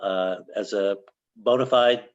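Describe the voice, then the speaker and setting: Casting: senior man as interview host, lowish voice, measured and halting, slightly muffled call audio. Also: fairly even intonation. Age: older. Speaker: host, yoga organization director. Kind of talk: conversational interview.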